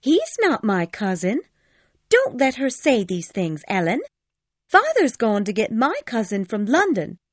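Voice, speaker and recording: A woman playing a spirited, haughty young girl in an audiobook story, with an imperious, indignant and expressive way of talking. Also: fairly high pitch, measured pace, no room sound, full character voice. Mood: upset, insistent. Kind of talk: dramatised audiobook dialogue.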